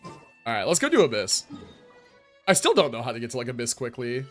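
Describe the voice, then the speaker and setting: An American man chatting casually on a live game stream in an animated, slangy, joking way. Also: medium pitch, brisk, faint music behind.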